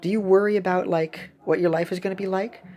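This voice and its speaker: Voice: medium pitch, steady tone, fast talker, warm tone. A young adult giving casual, playful gameplay commentary in a rambling, chatty way. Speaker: gaming video host. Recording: clearly audible background noise.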